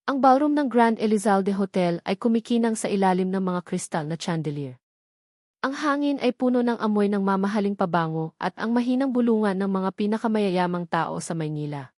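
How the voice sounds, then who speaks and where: A woman narrates a dramatic tale in a scripted storytelling style. Her voice sits at a middle pitch, and the sound is dry, with very little room around it. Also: expressive, brisk, a little mellow, dry recording.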